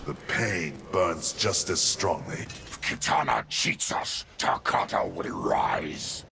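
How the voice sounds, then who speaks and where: An actor voicing a menacing, embittered male warlord in a video game, with a gravelly, commanding delivery. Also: low voice, expressive, slow pace, sharp tone, a little hiss or hum, exaggerated voice. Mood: grim. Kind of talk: video game character dialogue.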